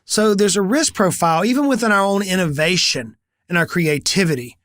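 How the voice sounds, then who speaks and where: High-pitched guy in his 40s, lively storyteller, dry close sound. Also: a little fast, no echo. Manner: energetic storyteller, acts out quoted conversations. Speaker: business coach and channel host. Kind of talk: animated solo talk to camera.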